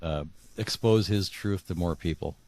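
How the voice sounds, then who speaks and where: A man's voice: deep voice, moderate pace, darkish tone, dry recording. A man talking as an author guest in a casual interview, earnest and repeating words for emphasis.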